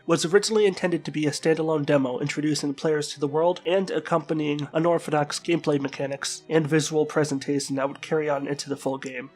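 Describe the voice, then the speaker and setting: A man with a stuffed-up, congested voice, sick with a flu-like bug, narrating a scripted game review in formal, wordy phrasing. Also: a little high. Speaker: video game reviewer and channel host.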